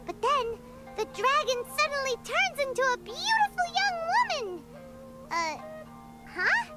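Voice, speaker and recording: Tiny, extremely squeaky, excitable fairy girl. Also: a little slow, exaggerated voice. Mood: startled, indignant. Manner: squeaky, chirpy, exclamatory, refers to self by name. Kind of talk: scripted video game dialogue. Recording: prominent background music under the dialogue.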